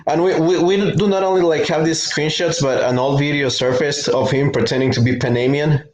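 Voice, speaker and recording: A man joining a stream as a guest, speaking in a loud casual way with stammered restarts. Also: fairly high pitch, steady tone, fairly quick, reverberant, slight background noise, poor audio. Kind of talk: casual chat.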